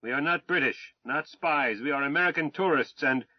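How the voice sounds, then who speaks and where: A man voicing a calm, even-tempered American pilot who speaks in a firm, measured way. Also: fairly high pitch, no echo, pitched above the performer's own voice, natural voice. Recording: dull, dry sound.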